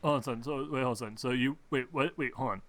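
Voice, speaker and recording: A man acting as game master, narrating a tabletop game in an informal, excitable, improvised way. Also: medium pitch, fairly quick, a crowd in the background. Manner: informal, excitable, swears freely.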